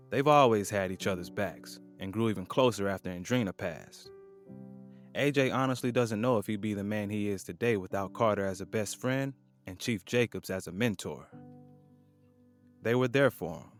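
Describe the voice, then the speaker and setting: A man narrating an audio drama in a measured, solemn way. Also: fairly low pitch.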